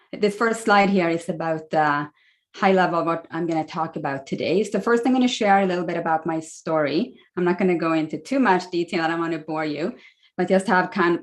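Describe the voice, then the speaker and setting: A woman in her forties with a Swedish accent, chatting through a slide talk as a guest speaker on a video call. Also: fairly low pitch. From Sweden. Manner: friendly, chatty, many fillers.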